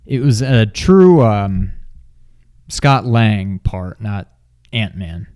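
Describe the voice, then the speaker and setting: A man co-hosting a movie review in informal, unscripted chat, often halting and trailing off mid-thought. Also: fairly low pitch, animated, darkish tone. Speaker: film review co-host. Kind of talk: casual unscripted movie discussion.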